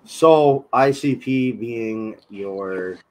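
A young man hosting a casual online radio interview from a small room, his voice hoarse and husky from illness, laid-back and swearing freely. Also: medium pitch, drawn-out words, a little mellow. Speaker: radio show host. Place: small dim room with colored lights.

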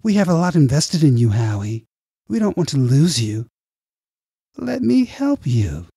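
A man acts out a villainous project director in a sci-fi audiobook. He is smooth-talking and manipulative, with a mellow but husky tone. His pitch swings widely as he coaxes with condescending, drawn-out phrases. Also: big pitch swings, dry recording, clean studio sound, full character voice. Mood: coaxing.

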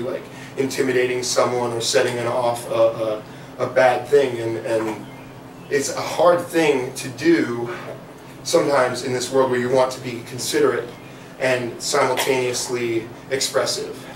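A young man performing spoken word poetry in a living room, delivering rhythmic rapped verses between soft, rambling chat. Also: on the high side. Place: living room of a house, small audience on couches. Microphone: far camera, no mic. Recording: echoey room from far off, faint noise.

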